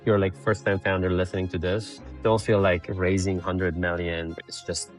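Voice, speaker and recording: A man's voice: deep voice, flat delivery, normal speed, faint music behind. A man from Iran, a startup founder telling his story plainly and bluntly as a remote podcast guest. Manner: blunt, plainspoken, filler-heavy. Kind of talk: casual interview storytelling. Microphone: remote call.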